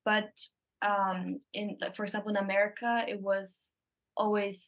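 A young woman from Germany answers as a podcast guest, pausing often and filling gaps with small hesitations. Her pitch barely moves, and the call audio sounds dull and muffled. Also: little pitch movement. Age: young adult. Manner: thoughtful, hesitant, many fillers. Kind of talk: casual conversation.

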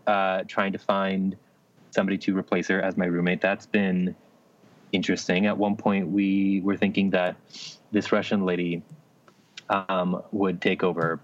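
A deep-voiced young man chats as a podcast co-host. He tells stories hesitantly, with understated dry humour, over slightly muffled audio. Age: young adult. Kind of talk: casual chat and anecdote.

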